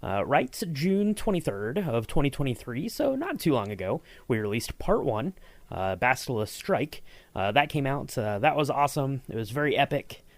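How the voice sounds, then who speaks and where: A man hosts a podcast in an upbeat, chatty way, with a filler at the start of most sentences. His voice is mid-pitched and recorded dry and close. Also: big pitch swings, moderate pace, no room sound. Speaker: podcast host. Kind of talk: casual podcast interview.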